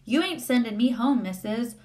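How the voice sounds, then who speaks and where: A grubby storybook schoolboy played by a woman with a raised pitch. His rural Southern accent is uneducated and blunt. He is sullen and rude to grown-ups. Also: expressive, normal speed, darkish tone, natural voice. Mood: defiant.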